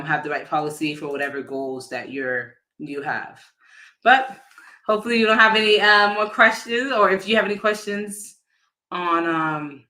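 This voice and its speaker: Bright, higher-pitched man explaining casually. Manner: casual, halting, often rephrasing mid-sentence. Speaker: insurance agent giving a financial education talk. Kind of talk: informal explanatory live talk.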